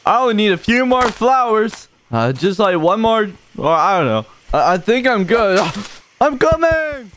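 A man voicing an excitable, friendly young stick-figure buddy in an amateur cartoon dub, eager and exclamatory. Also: sits high, measured pace, slight background noise, heavily acted. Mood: relieved, friendly. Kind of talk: amateur comedic cartoon voice-over.

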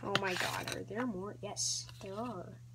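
Slow, rambling woman vlogger. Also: mid-range voice, very noisy. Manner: rambling, hesitant, lots of ums and restarts. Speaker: channel host. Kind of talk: casual card-opening vlog.

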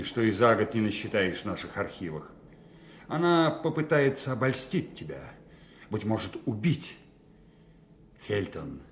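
An actor playing a stern, paternal English lord in a radio drama, speaking in a declamatory, commanding manner. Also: male voice, low-pitched, slight background noise. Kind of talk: classic radio drama acting.

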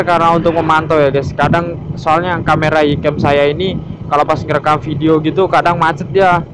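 A man chatting casually and chummily to viewers as a motovlogger, recorded outdoors while riding a motorbike. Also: a little high. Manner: addresses viewers chummily, often tagging phrases with "guys". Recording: noisy outdoor ride with engine and wind. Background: vehicle and outdoor noise.

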